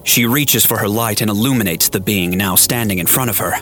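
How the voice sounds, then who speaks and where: A man's voice: fairly low pitch, brisk, fairly bright tone. A man giving a scripted, storytelling narration for a science fiction audio drama. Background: music.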